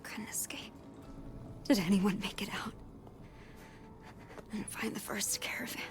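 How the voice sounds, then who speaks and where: An actress playing a weak, dying caravan survivor in a video game, breathless and speaking in halting fragments. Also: female voice, mid-range voice, fairly even intonation, whispered, natural voice. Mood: weak, frightened. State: weak, breathless, barely whispering. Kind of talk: video game cutscene acting.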